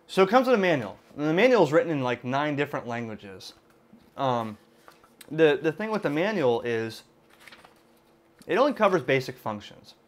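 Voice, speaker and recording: A man's voice: a little high, very animated, moderate pace, pauses often. Casual man explaining a gadget. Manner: informal, conversational, hedging explanations. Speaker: amateur radio video host and product reviewer. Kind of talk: casual unboxing and product demonstration. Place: indoors at a computer desk.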